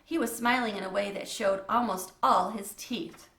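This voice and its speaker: A woman's voice: medium pitch, normal speed, creaky, a bit bright, echoey room. A woman reading a children's book aloud as a storyteller, in a scripted narration style.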